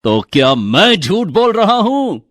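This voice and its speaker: A man's voice: high voice, big pitch swings, takes it slowly, a bit bright, no echo, studio quality, full character voice. A man voicing a fussy, sneering older father-in-law in a moral-story cartoon. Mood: disgusted, accusing.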